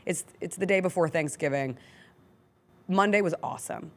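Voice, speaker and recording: A woman's voice: on the low side, moderate pace, creaky. American woman hosting casual sports talk. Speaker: sports talk show host. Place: radio-style studio.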